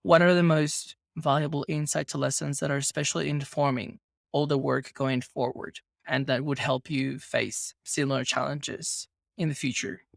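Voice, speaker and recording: A man from Venezuela hosting a podcast interview, talking in a thoughtful, measured way with a lot of careful phrasing. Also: a little high, little pitch movement, gravelly, no room sound, studio quality. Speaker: podcast host. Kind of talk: casual interview conversation.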